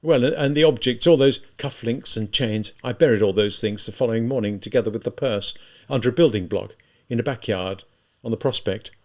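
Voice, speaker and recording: A man voicing a tormented, spiteful young murderer in an audiobook, halting and emphatic as he reproaches himself. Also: mid-range voice, close to an everyday voice. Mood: quiet, brooding.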